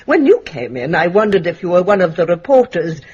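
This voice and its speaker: A woman's voice: on the low side, very animated, brisk, a little mellow, slightly rough, heavily acted. A woman playing an imperious, eccentric old spinster in a radio drama, speaking in a grand, precise, commanding way. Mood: confiding. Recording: dull lo-fi radio drama recording.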